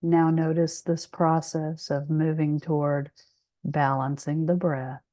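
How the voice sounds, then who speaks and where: Female meditation guide, soothing and very unhurried, mellow tone, close dry sound. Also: deep voice, level tone, dry recording. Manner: soothing, measured, with long pauses.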